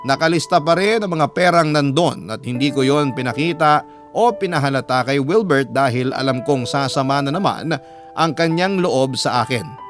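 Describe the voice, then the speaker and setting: A man's voice: mid-range voice, natural voice. A man with a Filipino accent playing a troubled, suspicious letter sender, narrating in the first person for a radio drama. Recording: guitar music under the voice. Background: guitar music.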